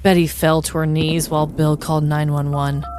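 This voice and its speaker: A woman's voice: sits low, flat delivery, normal speed, a little mellow, a little hiss or hum. A woman podcast host telling scripted true-crime stories with dramatic, tongue-in-cheek delivery, like a comedic narrator.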